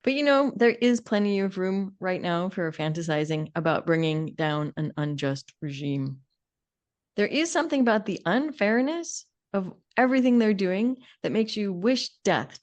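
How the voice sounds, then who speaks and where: A woman's voice: lowish voice, darkish tone. A middle-aged woman co-hosting a conversational podcast, talking in an opinionated, emphatic way. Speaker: podcast co-host.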